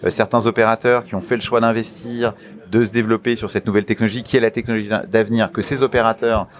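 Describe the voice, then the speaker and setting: A man giving formal interview answers as an official, in a deliberate, halting manner with emphatic repetition of key phrases. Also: on the low side, little pitch movement. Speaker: interview guest, media regulator official.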